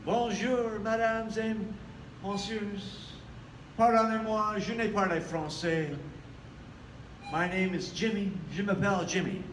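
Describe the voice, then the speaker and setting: A man's voice: high-pitched, fairly even intonation, a little slow. A man entertaining a care-home audience indoors with friendly stage banter. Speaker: musical entertainer at care homes. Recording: roomy, distant mic, music behind.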